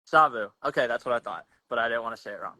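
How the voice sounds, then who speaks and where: Young male podcast host, slangy and easygoing, forceful bright tone, close dry sound. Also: medium pitch, rapid-fire, dry recording. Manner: laid-back, slangy, rambling with restarts. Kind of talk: casual chat.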